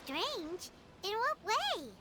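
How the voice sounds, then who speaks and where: An extremely high, squeaky little fairy sidekick for a video game. She is childlike, blunt and exclamatory, talking about herself in the third person. Loud music plays behind her. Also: big pitch swings, drawn-out words, exaggerated voice. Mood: curious, puzzled. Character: tiny excitable childlike fairy companion, female, blunt. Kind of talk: cartoonish video game acting.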